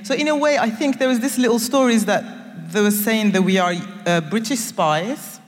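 A woman's voice: on the high side, moderate pace. A young adult woman from Turkey and Nigeria, a guest on a live stage talk, speaking earnestly and playfully with slightly phrasing. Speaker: guest designer. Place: concert hall stage. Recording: long reverb of a live concert hall.

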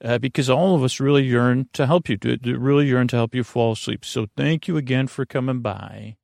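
A man hosting a bedtime podcast in a creaky, soothing voice, rambling haltingly and circling back on himself in meandering tangents. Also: mid-range voice, a little mellow, no echo, studio quality. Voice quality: creaky, gravelly. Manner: soothing, lulling. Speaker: podcast host.

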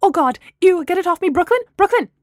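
Fiery young female best friend played by a woman narrator, audiobook, high and emphatic, dry sound. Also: expressive, slow pace, a little mellow, no echo. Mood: disgusted, panicked. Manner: theatrical, emphatic, indignant. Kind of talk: acted audiobook dialogue.

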